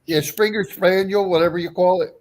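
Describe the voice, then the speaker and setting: A man with a high-pitched voice chats casually on a livestream. He rambles, repeats himself and talks bluntly, swearing as he goes. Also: steady tone, brisk, a little mellow. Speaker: livestream show guest.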